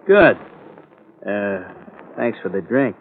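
Low gruff gunman, muffled radio. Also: big pitch swings, takes it slowly, full character voice. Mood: guarded. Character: tough gunman, suspicious but easily seduced. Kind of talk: old-time radio drama acting. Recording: muffled vintage radio, faint noise.

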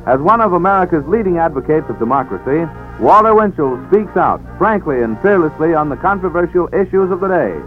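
A man's voice: a little high, animated, fairly quick, soft background music. A man delivering a formal, emphatic promotional announcement as a 1940s radio network announcer. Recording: thin narrow-band old radio broadcast.

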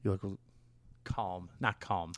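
A man chatting casually as a podcast co-host, halting and rambling as he corrects himself along the way. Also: low voice, steady tone, no room sound. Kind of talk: casual chat.

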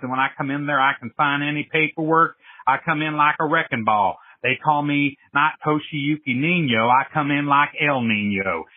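Over a tinny, dry phone line comes a man acting out a swaggering businessman with a Southern American accent. His voice is husky, and he brags in a folksy, repetitive way, as a comic caller would. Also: a little high, no room sound, natural voice. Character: brash swaggering Japanese businessman raised American, bragging.

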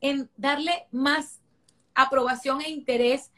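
A Venezuelan woman in her forties with a bright, high voice. She talks emphatically as an interview guest, stressing points by repeating them. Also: fairly even intonation, unhurried. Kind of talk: conversational interview.

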